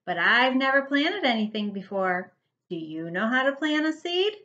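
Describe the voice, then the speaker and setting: An eager young boy cat from a picture book, as a woman reads him. He is curious and earnest, with a bright, excitable delivery that swings up and down. The recording is a touch dull. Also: a little high, normal speed. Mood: curious, excited.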